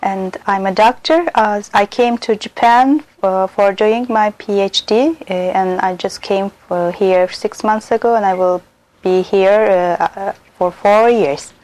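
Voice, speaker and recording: A young woman from Turkey, a native Turkish speaker with a Turkish accent, talking unscripted about herself as a doctor and doctoral student for a listening exercise, pausing often and filling gaps with "uh". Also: mid-range voice, a little mellow. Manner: hesitant, frequent pauses and "uh" fillers.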